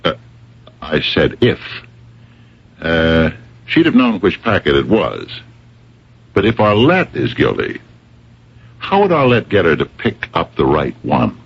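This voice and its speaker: A man acting in a radio mystery as a shrewd, dogged police captain who questions people in a deliberate, probing way, with a gravelly voice. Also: sits low, huge pitch swings, heavily acted. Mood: probing, measured. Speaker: radio drama actor. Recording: dull old radio broadcast recording.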